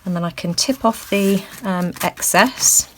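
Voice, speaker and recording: Woman host, croaky, chatty craft demonstrator, mid-pitched, faint room hiss. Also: a little slow, a bit bright, low-quality recording. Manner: chatty, thinks aloud, frequent ums. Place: indoors at a craft table.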